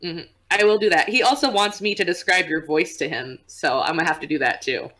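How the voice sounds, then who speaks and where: A young woman with a low, easygoing voice that carries a soft rumble. She talks in a loose, chatty way, laughing often as a podcast guest. Her pitch sits a little under most women's. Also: fairly quick. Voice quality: low voice with a relaxed vocal rumble. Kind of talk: casual podcast interview.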